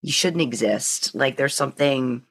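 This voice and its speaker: A woman's voice: rumbling low, unhurried. A woman hosting a podcast, talking casually with her guest in an interview. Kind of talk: casual chat.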